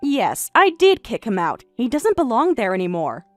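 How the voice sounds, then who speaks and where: A composed, deadpan young wife whose voice sits lower and softer than those around her, yet still stays fairly high, as a woman puts her on. She speaks flatly and quietly in a dry, close sound, with faint music underneath. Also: moderate pace, no room sound. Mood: cold. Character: calm, cool young wife unbothered by her husband's affair. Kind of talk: voiced story drama.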